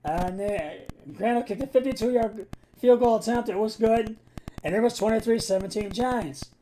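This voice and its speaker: Male amateur sports host, raspy mid-high voice, halting and stumbling delivery, noticeable background noise. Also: mellow, low-quality recording. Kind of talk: amateur football game recap.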